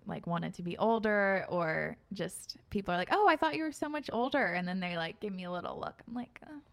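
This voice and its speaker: A woman's voice: medium pitch, sing-song, fairly quick, creaky. A woman in her twenties hosting a podcast, talking in a bubbly, gushing way with lots of laughter and fillers. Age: 20s. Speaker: podcast host. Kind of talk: casual podcast chat.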